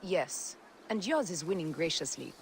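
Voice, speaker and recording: A woman's voice: fairly low pitch, expressive, moderate pace, very noisy. An actress playing a fierce, devoted warrior woman of ancient Egypt in a dramatic video game cutscene. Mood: teasing. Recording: loud game music behind.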